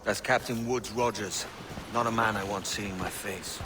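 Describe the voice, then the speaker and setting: An actor playing a shrewd, steady pirate leader in a video game, talking plainly and decisively in colloquial speech. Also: male voice, on the low side, normal speed, lightly acted. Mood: wary. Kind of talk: video game character acting. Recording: noisy outdoor ambience behind voice.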